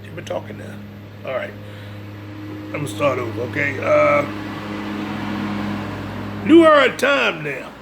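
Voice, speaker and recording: A man lectures on religion in a heavily creaky, gravelly voice that sits fairly high. He is emphatic and informal, circling back over phrases and checking that listeners follow. There is faint noise behind him. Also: very animated, a little slow, long pauses. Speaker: religious speaker reading from a book and commenting. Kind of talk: informal religious lecture mixing read-aloud passages and commentary.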